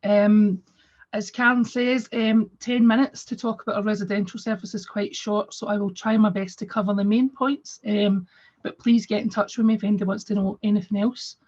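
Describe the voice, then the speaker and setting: A woman who heads a drug and alcohol service, croaky from a cold, presenting in a friendly way with frequent fillers. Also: medium pitch, level tone, fairly quick, a little mellow, dull audio. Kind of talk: webinar presentation.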